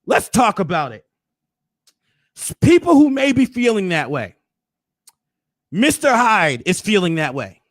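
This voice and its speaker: A hoarse man hosting a casual recap, hyping things up with preacher-like flourishes. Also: sits high, sing-song, measured pace, long pauses, no echo, clean studio sound. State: hoarse, losing his voice. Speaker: host.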